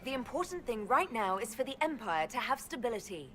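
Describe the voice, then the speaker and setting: An actress playing a resolute, commanding heroine in a fantasy game, firm and measured. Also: female voice, fairly high pitch, big pitch swings, fairly bright tone, faint music behind. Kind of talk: fantasy video game acting.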